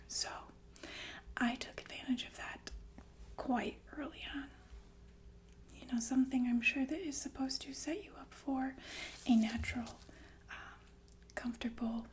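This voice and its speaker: A woman playing a calmly menacing, sadistic killer nurse in an intimate, deliberate ASMR roleplay full of pensive pauses. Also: on the high side. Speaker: ASMR performer. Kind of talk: ASMR roleplay monologue in whispers.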